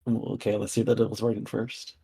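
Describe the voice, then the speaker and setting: A man's voice: fairly low pitch, little pitch movement, a little fast, vocal fry, dark tone, husky. A man in casual game chat as a player, thinking aloud in a hesitant way.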